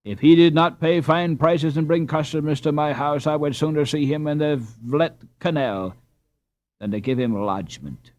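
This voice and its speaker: A man voicing a sharp, resentful landlord in a storybook, scornful and pompous. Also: on the high side, normal speed, pitched above the performer's own voice, close to an everyday voice. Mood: scornful, injured.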